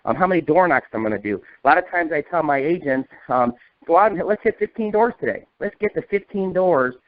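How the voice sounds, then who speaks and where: An American man of forty-five, a folksy fast talker giving a motivational sales training talk over the telephone. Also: a little high, animated, no room sound. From northern United States. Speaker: sales agency leader and trainer. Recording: narrow, tinny conference-call phone line.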